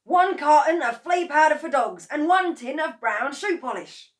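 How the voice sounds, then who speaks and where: A woman doing a shouty, excitable farmer dad. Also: moderate pace. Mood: excited. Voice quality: shouty, high-pitched and breathless with excitement. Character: excitable bossy farmer father, middle-aged man.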